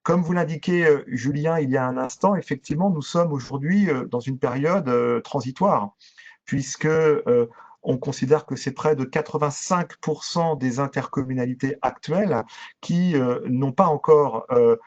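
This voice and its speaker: A man giving a formal, methodical online training presentation in speech, hesitating very often with drawn-out filler sounds. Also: medium pitch, darkish tone. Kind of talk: explanatory online presentation to local councillors. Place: video conference with shared screen. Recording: dull, lo-fi video-call audio.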